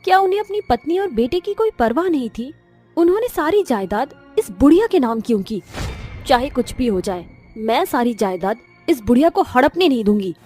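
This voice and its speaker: A woman's voice: high-pitched, very animated, brisk, warm tone, faint music behind. A woman voicing an emphatic, scheming daughter-in-law in a cartoon moral story. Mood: indignant, scheming. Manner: theatrical, emphatic. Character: scheming, cruel daughter-in-law, later a desperate, remorseful mother. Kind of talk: animated moral-story voice acting.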